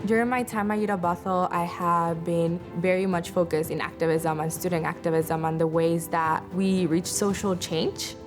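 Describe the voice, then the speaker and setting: A young woman from Guatemala, a graduating student, tells her story in an earnest, reflective manner. Her voice sits on the lower side. Music plays clearly beneath her. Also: steady tone, moderate pace, hardly any pauses. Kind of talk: personal story in a video.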